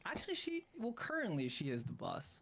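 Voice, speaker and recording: A woman player chatting casually in a tabletop session, breezy and relaxed. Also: medium pitch, fairly quick, vocal fry, slight background noise. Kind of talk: casual chat.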